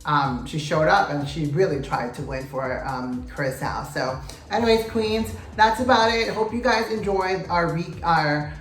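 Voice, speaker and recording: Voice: medium pitch, animated, measured pace, fairly bright tone. A pageant vlogger giving a casual recap to camera, sassy and gossipy, often calling out to viewers as "you guys" and "queens". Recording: roomy echo, faint music.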